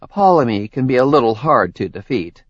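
A male narrator voicing a cheerful, gently teasing young woman with a light, dryly sarcastic manner. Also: medium pitch, big pitch swings, fairly quick, no room sound. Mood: chatty. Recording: dry, slightly dull audiobook recording.

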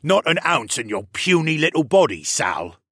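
A man's voice: on the high side, sing-song, moderate pace, bright, forceful tone, husky, no room sound, clean studio sound, pitched above the performer's own voice, exaggerated voice. A man voicing a hardened, sneering captured soldier who speaks with scornful, snapping contempt in an audiobook story. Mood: bitter contempt.

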